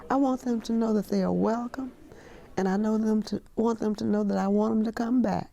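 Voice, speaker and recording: A woman's voice: fairly high pitch, steady tone, brisk, creaky, mellow, highs cut off. A woman in her eighties, a restaurant greeter, speaking in informal interview remarks. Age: 80s.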